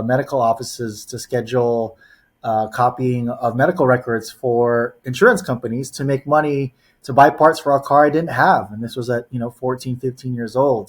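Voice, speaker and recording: A man in his forties, raised in Los Angeles, telling stories as a podcast guest with lots of "um" and "you know". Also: medium pitch. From born in the Philippines, raised in Los Angeles. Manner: rambling storyteller, heavy on "you know" and "um". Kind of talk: casual podcast interview.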